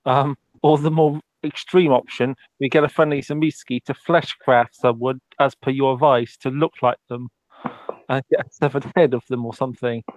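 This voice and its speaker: Man, tabletop roleplay, hesitant, stop-start, mid pitch, dry close sound. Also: fairly even intonation, moderate pace, no echo, poor audio. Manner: hesitant, many ums and restarts.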